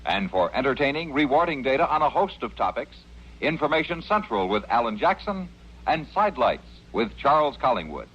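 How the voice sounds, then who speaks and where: A man's voice: mid-range voice, very animated, fairly quick, a bit bright, husky. An American man announcing for a network in polished mid-century radio style, with jaunty, wordy promotional delivery. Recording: muffled old radio broadcast.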